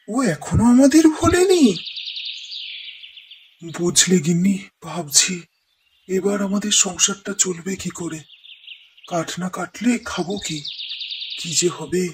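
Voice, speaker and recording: Woman acting a slow, fretful old woodcutter man. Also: mid-range voice, lively intonation. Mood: surprised. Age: older. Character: poor, worried old male woodcutter. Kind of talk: cartoon moral-story dialogue. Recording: noisy track with birdsong.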